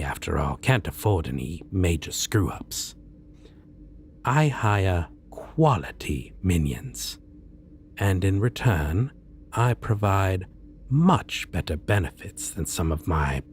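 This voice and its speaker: A man playing a polite, self-assured supervillain in an ASMR roleplay, speaking in a pleasant, businesslike and theatrically measured way. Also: sits low, a little mellow, slight background noise, full character voice. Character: polite, self-assured male supervillain interviewing a minion recruit.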